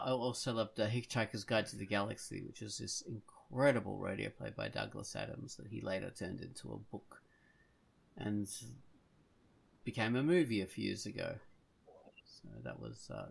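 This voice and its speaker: A man hosting a casual podcast, talking in a halting way as he thinks aloud. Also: lowish voice, creaky, slightly rough. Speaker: podcast host.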